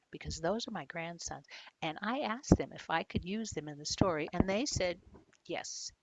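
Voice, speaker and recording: An older woman reading a picture book aloud to children, talking to them directly with questions and expressive storytelling. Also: on the low side, no echo, rough recording. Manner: addresses young listeners directly with questions.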